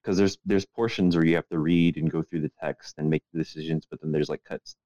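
A man's voice: extremely low pitch, fairly even intonation, a little fast, mellow, dry recording, lo-fi sound. A man co-hosting a casual podcast in a laid-back, hesitant way, often hedging what he says. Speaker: podcast co-host.

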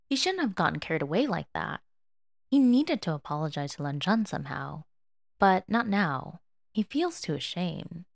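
A woman reading fiction aloud as a dramatic, expressive and emotive narrator. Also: fairly low pitch, dry recording, studio quality. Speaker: fan fiction audiobook reader.

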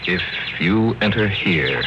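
An actor in an old radio drama playing a sober, uneasy husband, speaking in a measured, deliberate way. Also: male voice, low-pitched, expressive, noisy background. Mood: grave, uneasy. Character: sober, uneasy husband, a traveler keeping a journal. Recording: muffled vintage radio, loud music behind.

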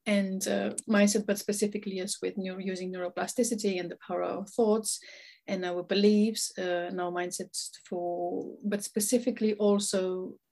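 A woman's voice: medium pitch, little pitch movement, normal speed, dark tone. A woman from Romania, a coach telling her story in a podcast interview, earnest and repeating words as she searches for them. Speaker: guest, coach.

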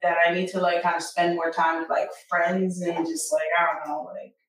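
A woman's voice: lowish voice, level tone, normal speed, a crowd in the background. A woman co-hosting a podcast, chatting casually and rambling with lots of "like". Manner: rambling, heavy use of "like". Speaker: podcast co-host. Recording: echoey room, mic at a distance.

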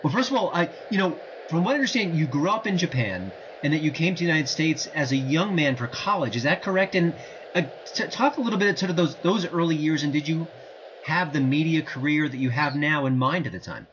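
A man hosting an interview show, introducing his guest and asking relaxed, rambling questions. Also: a little high, brisk, a little hiss or hum. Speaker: podcast host. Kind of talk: conversational interview. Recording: dull lo-fi audio.

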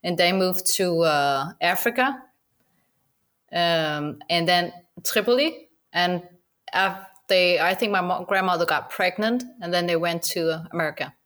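Danish woman guest, lowish voice, halting casual English with a Danish accent, laughs easily. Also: a bit bright. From Denmark. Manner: halting, many restarts and fillers, laughs often. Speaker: guest goose hunter. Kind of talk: casual interview chat.

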